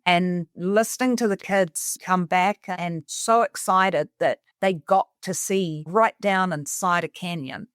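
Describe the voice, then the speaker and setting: Middle-aged New Zealand woman, clear smooth voice. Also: fairly low pitch, no echo, studio quality. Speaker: interview guest, cabin resort owner. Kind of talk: conversational personal storytelling.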